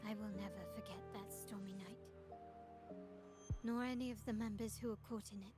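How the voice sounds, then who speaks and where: A woman's voice: mid-range voice, fairly even intonation, moderate pace, lightly acted. An actress playing a composed, commanding woman of a powerful family in a video game, poised, formal and probing. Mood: grave. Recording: music behind the voice. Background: game music.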